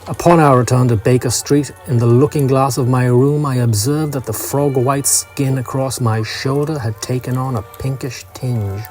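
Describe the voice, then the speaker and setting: A man reading a scripted first-person story in a measured, literary manner. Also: mid-range voice, steady tone, a little mellow, faint music behind. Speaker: narrator.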